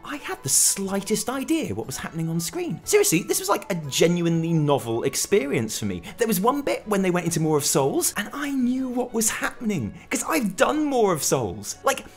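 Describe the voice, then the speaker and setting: A man's voice: high-pitched, huge pitch swings, moderate pace, few pauses. A British man hosting a gaming news show with scripted comic commentary in a theatrical, sarcastic manner. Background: background music.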